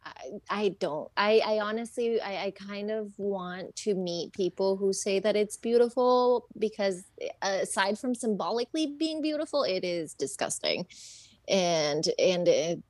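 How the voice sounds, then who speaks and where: A woman's voice: medium pitch, expressive, a little slow, dry recording. A woman in her thirties from Ecuador, a podcast guest chatting casually, frank and laughing often between fillers. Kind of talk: casual chat.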